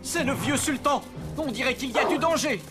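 A man's voice: very high-pitched, brisk. An actor voicing a hearty farmer in a French cartoon, exclaiming to his dog. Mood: alert, approving. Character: farmer, approving master of old dog. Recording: loud music under the voice.

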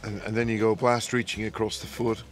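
A man's voice: lowish voice, flat delivery, a little fast. A man, a yacht sailor describing a race in an easygoing, rambling way, outdoors at night. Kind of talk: post-race interview.

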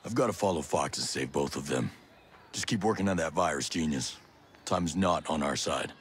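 Video game commando, extremely low voice, gruff, terse, grim, determined. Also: male voice, expressive, moderate pace, a little hiss or hum. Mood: grim, urgent. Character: grim bald grey-bearded special forces soldier, determined. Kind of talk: video game cutscene acting.

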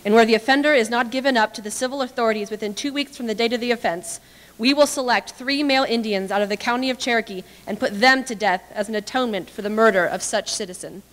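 A young adult woman reading historical documents aloud in a formal, measured, declamatory way. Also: on the high side, fairly bright tone. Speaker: dramatic reader. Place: large brick-walled hall with stage, indoors. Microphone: podium microphone. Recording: echoey hall with long reverb.

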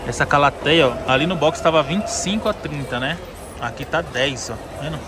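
A Brazilian man with a fairly high voice talks casually to his viewers as he vlogs. His delivery is chatty and informal, like a walk-through of a market. Street noise is clearly audible around him outdoors. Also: a little fast. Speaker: vlogger showing a plant market. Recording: noisy outdoor recording with street sounds.

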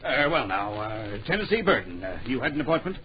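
An actor playing an absent-minded, excitable publisher in an old radio drama, muttering, hemming and repeating his phrases. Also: medium pitch, fairly quick, close to an everyday voice. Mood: distracted, muttering. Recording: muffled, noisy old radio recording.

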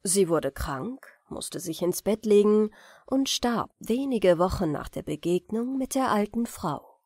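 Woman reading a bedtime fairy tale, really unhurried, medium pitch, no room echo. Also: expressive, darkish tone, studio quality. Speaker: audiobook narrator.

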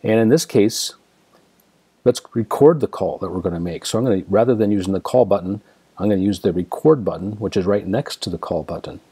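An American man narrating a step-by-step software demonstration in a methodical, explanatory way. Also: on the low side, fairly quick, breathy edge, no echo. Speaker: software tutorial presenter.

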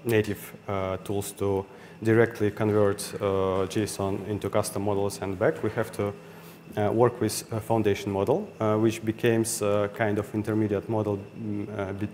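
A man's voice: lowish voice, darkish tone. A young man with a Russian accent giving a technical meetup talk, hesitant and full of fillers and restarts. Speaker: conference speaker. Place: meetup room with audience. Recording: hall reverb, faint ambient noise.

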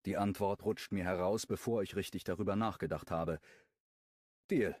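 A man narrating a first-person romance audiobook in a calm, wry tone. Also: sits low, moderate pace, no echo, studio quality.

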